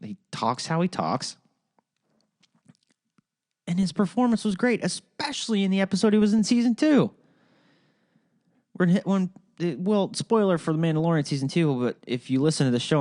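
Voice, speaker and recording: A man's voice: fairly high pitch, expressive, normal speed, a little mellow, dry recording, clean studio sound. Rambling Ohio-accented man, podcast host. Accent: Ohio, Midwestern. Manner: rambling, profane, full of fillers and restarts. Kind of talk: casual solo podcast chat.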